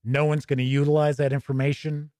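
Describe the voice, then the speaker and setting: Man, slightly high voice, casual livestream commentary, chatty gaming show host, reading aloud with asides, dry sound. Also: level tone, quick pace, warm tone, no room sound.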